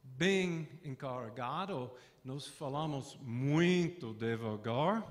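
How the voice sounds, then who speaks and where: An older man from the southern United States, a native English speaker with an American accent, giving a conference lecture in halting speech full of "ah" pauses. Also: mid-range voice, animated. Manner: halting, searching for words with frequent "ah" fillers.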